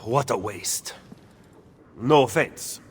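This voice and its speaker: A man's voice: mid-range voice, expressive, slow pace, slight background noise. A man playing a blunt, critical conspirator in a video game, dry and skeptical. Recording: long echoing reverb.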